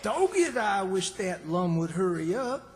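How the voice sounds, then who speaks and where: Slow, folksy old country man played by an actor. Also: sits high, big pitch swings, noisy background. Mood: flustered, literal. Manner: folksy, hesitant, drawn-out exclamations. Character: naive, literal-minded old country man, stubborn and wisecracking. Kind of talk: old-time radio comedy acting. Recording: hall reverb, distant stage sound.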